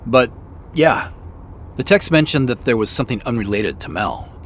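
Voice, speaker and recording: An actor plays an ordinary American man being careful with what he says. His mid-pitched voice has lively swings, yet he sounds hesitant and puzzled. Faint noise sits behind this casual audio-drama dialogue. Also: normal speed, natural voice. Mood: casual, curious. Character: guarded, puzzled ordinary man questioned by police.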